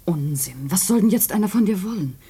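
An actress playing an anxious, devoted young wife in a German radio play, soothing and questioning. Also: female voice, mid-range voice, lively intonation, a little fast, mellow, breathy edge. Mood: worried.

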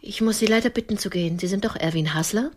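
A woman's voice: mid-range voice, fast talker, close to an everyday voice. A woman acting in a radio drama as a lonely older typist, haughty and cutting, yet romantic. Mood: dismissive. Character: lonely middle-aged female typist, haughty, sharp-tongued, romantic. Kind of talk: intimate radio drama dialogue.

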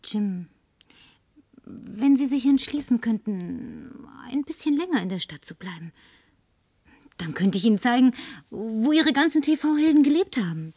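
An actress in a German radio play playing a flirtatious, tipsy, insistent career woman with coquettish, languid phrasing and breathy murmurs. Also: on the high side, very animated, measured pace, mellow, no echo, full character voice. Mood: eager, coaxing.

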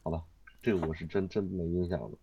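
A man's voice: sits low, fast talker, vocal fry, a little hiss or hum. A man chatting casually as a quiz guest, exclamatory and colloquial, often repeating himself. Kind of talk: casual chat. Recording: dull lo-fi audio with music behind.

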